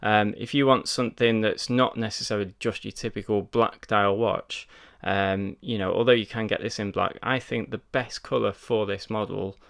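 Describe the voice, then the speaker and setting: Male reviewer, smooth but gravelly low voice, casual chatty delivery. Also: steady tone, normal speed, few pauses, fairly bright tone. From United Kingdom. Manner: chatty, full of ums and doubled words. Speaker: watch reviewer. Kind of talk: casual product review to camera.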